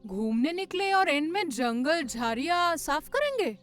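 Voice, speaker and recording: Fretful, cautious cartoon girl woman acting, scolding and questioning in a very high, sharp voice. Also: big pitch swings, unhurried, full character voice. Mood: doubtful. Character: anxious, scolding young girl, cautious friend. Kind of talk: Hindi cartoon story voice acting.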